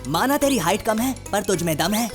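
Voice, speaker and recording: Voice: medium pitch, lively intonation, a little fast, over music, close to an everyday voice. A performer voicing a wisecracking cartoon sidekick who teases and comments in punning, filmi one-liners. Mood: teasing. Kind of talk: Hindi comedy cartoon voice acting.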